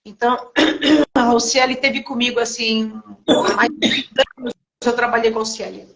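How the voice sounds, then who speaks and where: A woman's voice: on the high side, steady tone, moderate pace, fairly bright tone. A woman hosting a casual live-stream interview, chatty and full of tag questions. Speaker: interview host. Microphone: remote call over a live stream.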